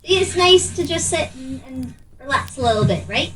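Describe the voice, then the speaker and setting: A woman role-playing casually in a tabletop game, talking in a hesitant, halting way. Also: high voice, expressive. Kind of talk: casual in-character role-play. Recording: distant mic, faint noise.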